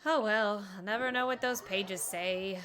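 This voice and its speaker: Woman, casual live gameplay commentary, medium pitch, bright crisp edge to the voice. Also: unhurried. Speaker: game streamer. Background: game music.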